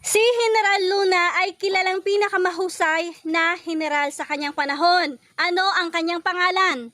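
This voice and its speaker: A woman's voice: extremely high pitch, steady tone, moderate pace, sharp tone, dry recording, clean studio sound. A woman with a Filipino accent hosting a quiz, reading out trivia questions in set formal wording. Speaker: quiz host.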